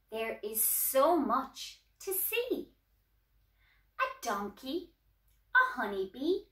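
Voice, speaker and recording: An Irish woman reads a children's story in a high, bright voice. Her delivery is sing-song and deliberate, with lively swings of pitch. The small room sounds echoey. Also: far from the mic. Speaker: sign language tutor reading a storybook. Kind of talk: expressive children's storybook reading with signing. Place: small indoor room at home.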